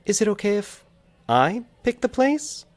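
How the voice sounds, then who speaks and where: Man voicing a soft, high-pitched, hopeful young woman. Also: big pitch swings, a little slow, no room sound, faint music behind. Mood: shy, hopeful. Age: young adult. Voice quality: hushed, breathy. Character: Valerie, soft-spoken, hopeful young woman, old classmate.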